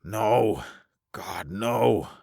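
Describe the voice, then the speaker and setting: A man's voice: lowish voice, expressive, drawn-out words, gravelly, mellow, slightly rough, dry recording, clean studio sound, voice pushed up, exaggerated voice. A man voicing a stubborn, desperate spaceman afraid of being alone, agitated and pleading, in a science fiction audiobook.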